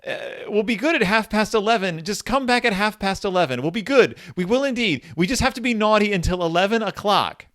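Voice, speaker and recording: A man voicing panicked, naughty children who plead with their mother in a hurried way. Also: high voice, expressive, fairly bright tone, voice pushed up.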